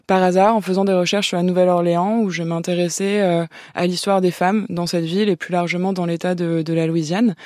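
A woman in her thirties, a novelist, answering interview questions softly with many pauses and restarts. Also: on the low side, steady tone, no room sound, studio quality. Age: 30s. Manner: hesitant, many "euh" and restarts, soft-spoken. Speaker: novelist guest.

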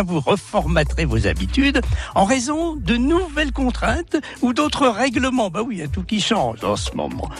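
A man giving horoscopes as a radio guest, emphatic and sing-song, repeating each sign's name. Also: high voice. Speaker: astrologer guest.

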